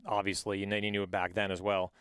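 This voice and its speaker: An upbeat male podcast host who encourages his guest and keeps asking "right?". His voice is low and smooth. The sound is dry and close. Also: flat delivery, quick pace, a bit bright, no echo. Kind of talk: casual interview chat.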